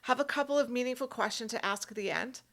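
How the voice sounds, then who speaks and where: A woman's voice: on the high side, fast, creaky, fairly bright tone. A woman working as a career coach, speaking straight to the viewer in an emphatic, instructional way and often checking in with short tag questions. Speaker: career coach hosting an advice video.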